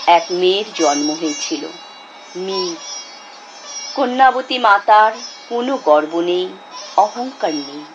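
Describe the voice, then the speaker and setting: A woman reciting a poem dramatically, in a measured, expressive way with deliberate pauses. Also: fairly low pitch, highs cut off. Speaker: poetry reciter. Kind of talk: dramatic Bengali poetry recitation. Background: instrumental music.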